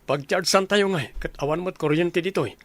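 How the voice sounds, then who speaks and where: A man's voice: high voice, a little fast, a bit bright. A man playing a homesick young soldier in a radio drama, speaking earnestly and conversationally. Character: young soldier longing to go home.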